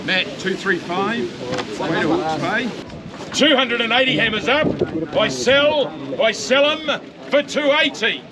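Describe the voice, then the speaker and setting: A man with a New Zealand accent working as a livestock auctioneer, rattling off bids in a rapid, repetitive chant and coaxing buyers at an outdoor saleyard. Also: high-pitched, lively intonation. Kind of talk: auction bid calling. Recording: loud crowd and livestock noise at an outdoor sale.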